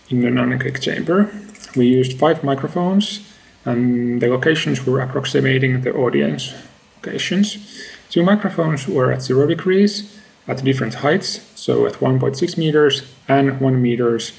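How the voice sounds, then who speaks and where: A man in his thirties with a creaky voice gives a measured, matter-of-fact research presentation in halting phrases. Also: mid-range voice, a little mellow, rough recording. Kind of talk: scripted academic slide presentation.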